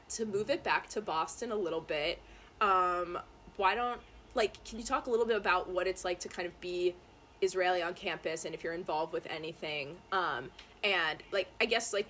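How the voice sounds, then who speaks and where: A woman's voice: lowish voice, normal speed, bright, forceful tone, slight background noise. A young college-age woman interviewing a fellow student, chatty and enthusiastic with lots of "like" and "um". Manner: enthusiastic, chatty, many fillers. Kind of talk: casual interview.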